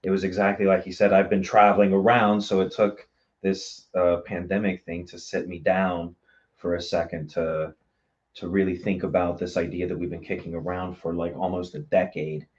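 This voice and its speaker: A man's voice: deep voice, level tone, normal speed, a little mellow. A man speaking as an interview guest in a casual, reflective and appreciative way. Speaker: guest, theater marketing director. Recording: slightly dull, lo-fi sound.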